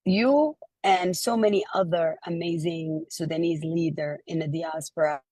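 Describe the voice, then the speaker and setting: A woman's voice: low voice, a little slow, warm tone, no echo. A woman hosting a conversational interview in an encouraging, reassuring manner. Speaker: show host, interviewer.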